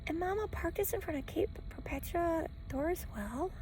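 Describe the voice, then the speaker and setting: A woman's voice: very high-pitched, expressive, normal speed, a little mellow, slight background noise, poor audio. A woman rambling through a personal vlog from inside a parked van, stopping and starting and repeating phrases for emphasis. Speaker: travel vlogger living in a van. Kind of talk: rambling personal vlog, talking to camera and to a dog. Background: faint ocean wash.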